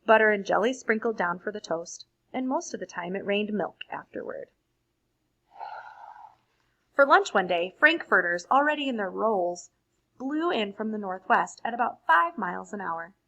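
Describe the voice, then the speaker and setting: A woman reading a picture book aloud to children in an expressive storytelling manner, adding simple, casual explanations. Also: medium pitch, normal speed, rough recording. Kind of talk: read-aloud picture book narration with casual asides to young listeners.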